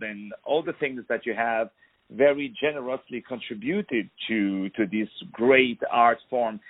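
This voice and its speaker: A man from France hosts a radio interview in a mid-pitched voice, heaping praise on his guest. The sound is thin and tinny, like a phone line. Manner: effusive, full of praise. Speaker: radio host.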